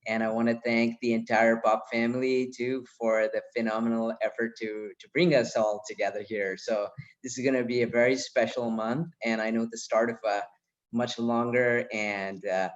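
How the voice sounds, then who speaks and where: A man with a mid-pitched voice welcomes a group on a video call, effusive and enthusiastic, with frequent fillers. The audio is a bit dull. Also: flat delivery, normal speed. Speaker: senior mentor.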